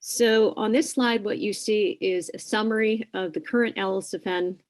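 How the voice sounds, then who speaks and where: A woman giving a prepared presentation at a committee meeting, speaking in a measured way with only a few "um"s. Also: mid-range voice, fairly even intonation. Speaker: presenter at a bar subcommittee meeting.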